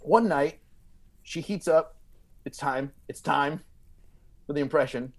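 A man with a slightly high voice spins a funny story as a podcast guest. He talks casually and emphatically, circling back and repeating words as he builds each point. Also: takes it slowly.

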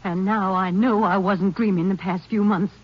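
An actress playing a strained, stubborn American wife who feels hunted, in 1950s radio drama style. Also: female voice, medium pitch, fairly even intonation, normal speed, natural voice. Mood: urgent, vindicated. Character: distraught, insistent wife convinced someone wants her dead. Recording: muffled old radio recording, faint noise.

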